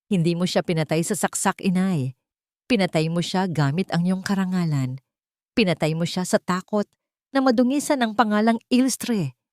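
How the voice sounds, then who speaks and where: Woman as betrayed adult child, accusing, deliberate, bitter, dramatic storybook delivery, dry recording. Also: fairly low pitch, a little mellow, dry recording, lightly acted. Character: wronged adult child, bitter, coldly accusing their mother.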